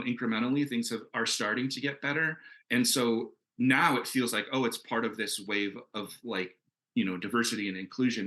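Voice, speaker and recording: A man's voice: mid-range voice, bright, forceful tone, poor audio. A man speaking as a comics creator on a panel, casual and earnest, talking in an enthusiastic, rambling way.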